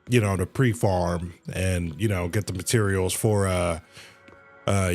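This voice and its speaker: A man's voice: low voice, creaky, a little mellow. A man giving casual, rambling livestream commentary on a game, often repeating himself. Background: faint game music.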